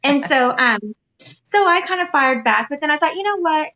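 A woman with a high, sweet voice co-hosts a casual chat. She talks straight to her listeners in an earnest, encouraging way. Her voice sits a little high, and the recording sounds dull and lo-fi. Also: animated, moderate pace, bright, forceful tone.

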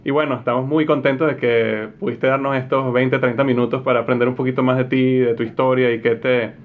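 A man's voice: medium pitch, little pitch movement, fairly quick. Man hosting a podcast interview. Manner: warm, hesitant questioning with restarts. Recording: dull lo-fi sound with faint noise.

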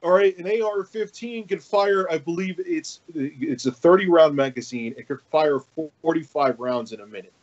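Dull-sounding halting, sweary man debating. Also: fairly high pitch. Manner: halting, repeats words, swears freely. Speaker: podcast panelist. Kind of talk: heated casual political discussion.

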